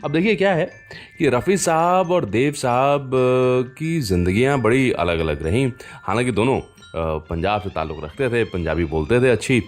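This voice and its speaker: A man's voice: mid-range voice, lively intonation, moderate pace, hardly any pauses. A man with an Indian accent hosting a radio show, telling anecdotes in a chatty, conversational way and speaking directly to listeners. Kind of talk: conversational storytelling presentation of film music. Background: background music.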